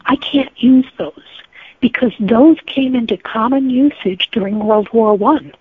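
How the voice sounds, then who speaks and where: Over a thin, phone-like connection, a woman gives an interview in a slightly high, husky voice. She is earnest and opinionated, laying out her views in deliberate conversational phrases. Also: dry recording. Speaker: interview guest, novelist. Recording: thin, tinny phone-like line.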